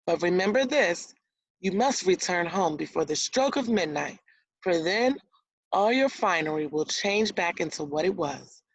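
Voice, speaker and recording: A woman voicing a brisk, kindly fairy godmother in a storybook read-aloud, exclaiming and giving instructions. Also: fairly low pitch, lively intonation, slightly rough, no echo, exaggerated voice, rough recording. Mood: cautioning.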